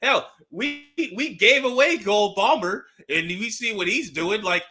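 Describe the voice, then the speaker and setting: Bright, high-voiced man chatting football. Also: measured pace. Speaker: football fan podcast panelist. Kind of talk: casual sports chat.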